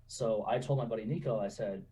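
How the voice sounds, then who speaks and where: A man in his twenties telling stories in a relaxed, chatty way, laughing often and saying "you know" a lot. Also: on the low side, a little fast, distant mic, slight background noise, low-quality recording. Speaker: guest. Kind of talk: casual interview storytelling.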